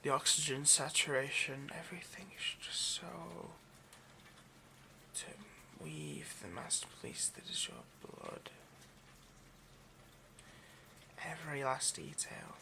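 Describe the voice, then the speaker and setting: A man plays an obsessive, seductive male vampire in an ASMR roleplay. His mid-pitched voice is slow and creaky, with halting, intimate murmurs that slip into breathy whispers. Noticeable noise sits behind the voice. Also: wildly swooping pitch, heavily acted. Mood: rapturous, hungry.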